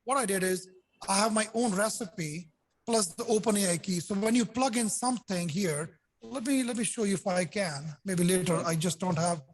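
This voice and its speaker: A man's voice: high voice, moderate pace. A man in his sixties, born in India, with a heavy blend of Indian and French accents. He is a developer chatting eagerly on a video call, restarting sentences and leaning on "so basically". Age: 60s. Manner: enthusiastic, repeats "so basically", frequent restarts. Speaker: customer and software developer. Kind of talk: casual video call chat.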